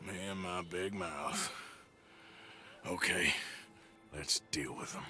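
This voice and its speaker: An actor playing a gruff, weary, protective American outlaw in a video game, with a dry, sardonic drawl. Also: male voice, low voice, slow, pushed and bright, faint music behind, heavily acted. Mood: tense, irritated. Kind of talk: video game cutscene acting.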